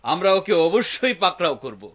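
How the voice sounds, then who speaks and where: A man's voice: high-pitched, big pitch swings, moderate pace, a bit bright. A man voicing a commanding, confident police officer in a cartoon. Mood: assured. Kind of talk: dubbed cartoon acting.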